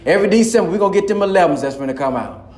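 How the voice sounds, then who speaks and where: A man's voice: high voice, lively intonation, rapid-fire, darkish tone. Impassioned preacher man in an echoey hall. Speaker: minister. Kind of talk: preaching to a live audience.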